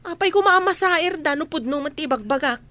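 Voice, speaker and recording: A woman's voice: sits high, animated, brisk, sharp tone. A woman playing a brazen, stubborn gossip in an audio drama, arguing insistently and theatrically. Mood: defiant, defensive. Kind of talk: Filipino audio drama acting.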